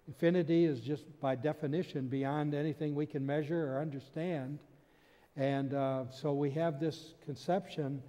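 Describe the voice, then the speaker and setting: Older preacher in a reverberant church. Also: male voice, a little high, mellow. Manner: earnest storytelling preacher, frequent restarts. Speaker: pastor. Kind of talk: sermon. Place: church sanctuary stage, indoors. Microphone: stand mic at pulpit. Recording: long hall reverb.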